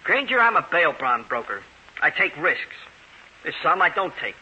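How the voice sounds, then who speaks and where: An actor playing a cynical, hard-nosed city bail bond broker in a radio detective drama. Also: male voice, fairly high pitch, very animated, moderate pace, pushed and bright, exaggerated voice. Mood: dismissive. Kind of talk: old-time radio acting. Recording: dull, hissy old radio recording.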